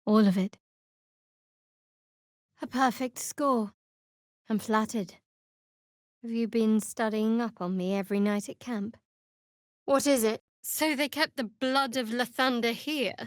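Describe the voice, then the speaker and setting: A young female cleric of a dark goddess in a fantasy video game. Her voice sits slightly high and moves slowly and deliberately, guarded and sardonic yet devout. The recording is dry and close, free of room sound. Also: no room sound. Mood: vulnerable, earnest.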